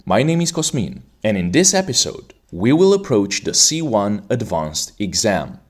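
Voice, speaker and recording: A man's voice: lowish voice, very animated, measured pace. A man from Romania, hosting an exam-prep podcast and playing the examiner, in a formal, teacherly way. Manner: articulate, formal teacherly delivery.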